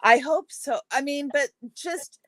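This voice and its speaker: A woman's voice: high voice, measured pace, pushed and bright, no echo. A woman in casual conversation who tells stories earnestly. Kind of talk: casual chat.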